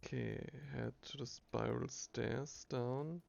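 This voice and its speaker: Brief: slow, gravelly male streamer reading game text aloud, halting with fillers, dull lo-fi sound. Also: medium pitch, steady tone, darkish tone. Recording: dull lo-fi recording.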